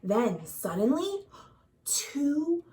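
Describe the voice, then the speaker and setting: Children's librarian storytime read: woman, high voice, unhurried, dramatic delivery aimed at young listeners. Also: sing-song, dark tone, distant mic. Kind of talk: expressive picture-book read-aloud for children. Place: at home.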